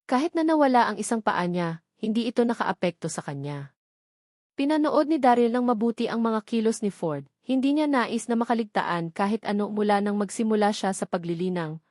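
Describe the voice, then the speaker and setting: A woman's voice: medium pitch, lively intonation, a little fast, a little mellow, no echo, clean studio sound. A woman with a Filipino accent reading scripted novel narration as an audiobook narrator.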